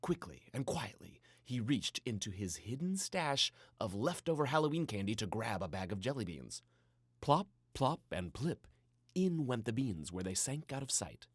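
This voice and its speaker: A man reading a scripted children's story in a playful, theatrical way with dramatic pauses. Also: mid-range voice, breathy edge, no echo, studio quality. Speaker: storybook narrator.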